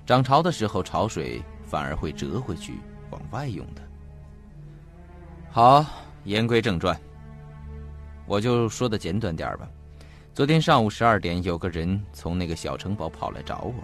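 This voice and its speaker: An actor playing a genial, measured police chief confiding a case in an audio mystery drama, in a good-humoured conversational way. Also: male voice, low voice, big pitch swings, faint music behind. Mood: amiable, confiding.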